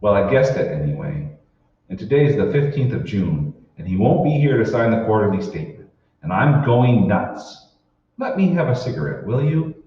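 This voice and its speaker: A bewildered everyman played by a man in a vintage radio play, hesitant and irritable. His lowish voice swings up and down, heard from a distance in a very roomy space. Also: sing-song, normal speed. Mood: exasperated. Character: puzzled, irritable married office man in sci-fi radio play. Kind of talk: old-time radio drama acting. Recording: roomy, muffled, distant stage recording with noise.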